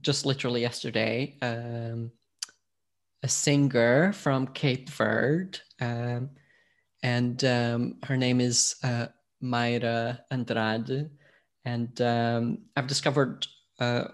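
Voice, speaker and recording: A man's voice: mid-range voice, little pitch movement, slow. A man from Portugal, a podcast host now being interviewed, talking casually with many fillers and restarts.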